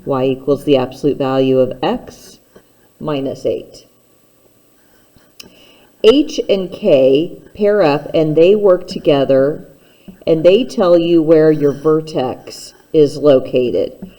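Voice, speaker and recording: A woman's voice: sits low, takes it slowly, warm tone, poor audio. A woman teacher giving a step-by-step instructional math lesson, speaking plainly and patiently straight to the learner.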